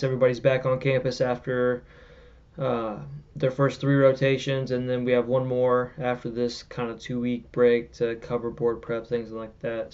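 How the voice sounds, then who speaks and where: A man's voice: mid-range voice, clean tone, highs cut off. A young man chatting casually in a vlog about his studies and his podcast, losing his voice. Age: young adult. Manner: relaxed, rambling delivery with fillers. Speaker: vlogger and podcast host.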